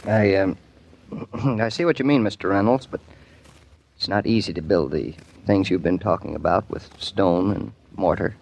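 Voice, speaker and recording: An actor playing a thoughtful, somewhat hesitant young architect husband in a 1940s radio drama. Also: male voice, sits low, moderate pace, vocal fry, darkish tone, breathy edge, full character voice, low-quality recording.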